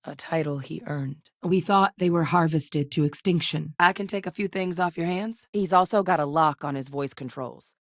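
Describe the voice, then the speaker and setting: An actress playing a steady, determined female military scientist in a video game, with a serious, matter-of-fact manner. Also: low-pitched, moderate pace, hardly any pauses, no echo, studio quality. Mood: measured, serious.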